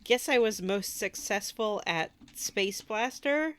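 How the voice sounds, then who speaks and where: A woman's voice: medium pitch, animated, slow, fairly bright tone, smooth. A woman co-hosting a casual gaming podcast, chatty and wry in the way she talks. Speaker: podcast co-host.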